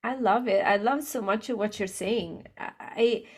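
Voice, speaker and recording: A woman's voice: mid-range voice, moderate pace, a little mellow, slightly rough. A woman from Italy hosting a podcast over a video call, enthusiastic and rambling with restarts. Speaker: podcast host. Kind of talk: casual interview chat.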